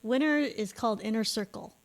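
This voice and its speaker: A woman's voice: a little high, a little fast. A woman co-hosting a casual podcast chat, agreeable, chiming in with short replies and often saying "like". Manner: agreeable, chimes in with short replies and laughs, says "like" a lot. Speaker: podcast co-host.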